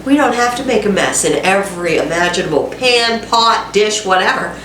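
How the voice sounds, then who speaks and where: A woman's voice: medium pitch, expressive, normal speed, a bit bright, slight background noise. A woman with a head cold and a congested, nasal voice hosts a casual home cooking demonstration, chatting to viewers with self-deprecating asides. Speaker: cooking channel host. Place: home kitchen. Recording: echoey kitchen, mic at a distance. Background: frying sizzle and a dishwasher running.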